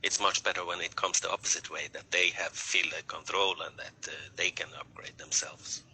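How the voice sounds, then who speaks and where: A man's voice: sits low, fairly even intonation, fairly quick, bright, forceful tone, a little hiss or hum, low-quality recording. Hesitant man from Norway. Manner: hesitant, many fillers. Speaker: network marketer. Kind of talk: casual group chat.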